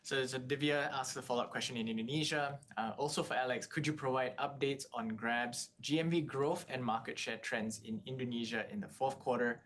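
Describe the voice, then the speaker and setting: A man's voice: fairly even intonation, a little fast, hardly any pauses, fairly bright tone. A man moderating an earnings call, reading out analyst questions. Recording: clear background noise, low rumble.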